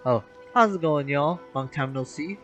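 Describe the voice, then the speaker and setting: A man's voice: fairly high pitch, normal speed, a bit bright, highs cut off, faint music behind. A man giving casual gameplay commentary, slangy and exclaiming, talking back to the game. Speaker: gaming streamer.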